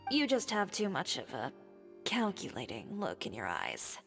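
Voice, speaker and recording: A woman's voice: lowish voice, wildly swooping pitch, moderate pace, in a large hall, soft background music. A woman voicing a blunt young makeup artist in a game, terse, cool and impatient. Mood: curt. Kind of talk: video game cutscene voice acting.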